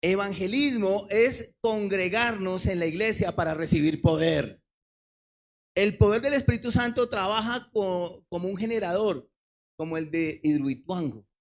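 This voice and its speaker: A male pastor preaching an impassioned sermon, swinging between loud exhortation and quieter explanation. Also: sits high, unhurried.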